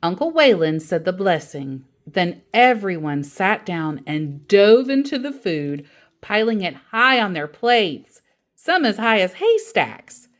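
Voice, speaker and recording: A woman reading a children's story aloud as an animated storyteller, acting out the dialogue expressively. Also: medium pitch, takes it slowly. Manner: acts out quoted dialogue expressively.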